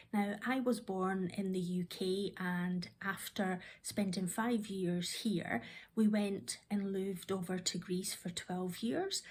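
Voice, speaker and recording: A Scottish woman in her fifties with a husky, slightly breathy voice at a middle pitch. She tells stories from her own life in a reflective, meandering way. Her speech is full of small pauses, "um"s and restarted sentences. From Scotland. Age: 50s. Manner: thoughtful, rambling with frequent ums and restarts. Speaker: vlogger. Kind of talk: reflective personal storytelling.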